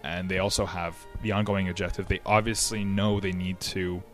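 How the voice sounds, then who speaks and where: A very deep-voiced man talks through history in a relaxed, conversational way. He hesitates often, restarting phrases and filling gaps with ums and uhs. Faint music sits quietly behind his voice. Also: normal speed. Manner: conversational, many fillers and restarts. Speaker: history podcast host. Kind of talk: casual explanatory history talk.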